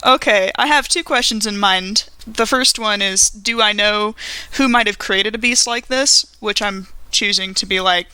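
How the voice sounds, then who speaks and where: A woman with a bright, forward voice plays a tabletop roleplaying game. She speaks casually, as a player thinking through moves, in a close, dry recording. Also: medium pitch, normal speed, smooth, dry recording, low-quality recording.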